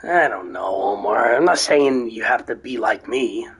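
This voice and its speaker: A young man streaming a game, rambling and ranting, swearing now and then, his voice going hoarse. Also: mid-range voice, animated, a little slow. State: voice getting hoarse. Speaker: gaming streamer. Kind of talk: rambling live-stream chat.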